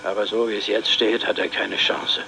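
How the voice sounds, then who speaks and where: A troubled older father makes a defensive statement in a radio drama. His voice is low and creaky with a hard, forceful edge. The recording has a faint noise to it. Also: level tone, moderate pace, faint music behind, rough recording. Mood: defensive, resigned.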